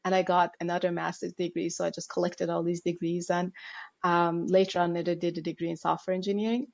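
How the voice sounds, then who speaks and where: A woman's voice: fairly low pitch, little pitch movement, brisk, creaky, dull audio. A woman from Iran, a Persian speaker, talking animatedly as a guest on a podcast and often laughing at herself. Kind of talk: podcast interview.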